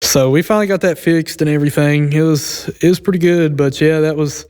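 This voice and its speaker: A man's voice: on the high side, steady tone, brisk, darkish tone, clean tone. A young adult man co-hosting a podcast, telling a story casually in an easygoing, chuckly way with folksy slang.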